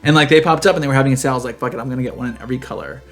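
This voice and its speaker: A podcast co-host with a middling pitch talks casually over faint music. The delivery is campy and slangy, with animated storytelling. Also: racing. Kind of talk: casual chat.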